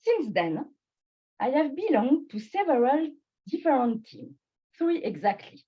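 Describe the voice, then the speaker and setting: A woman born in Bangladesh and raised in France, with a French and Swiss French accent and French as her first language, giving a prepared personal speech at a speaking club in slow, deliberate phrases with pauses. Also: high voice, very animated, slightly rough, lo-fi sound.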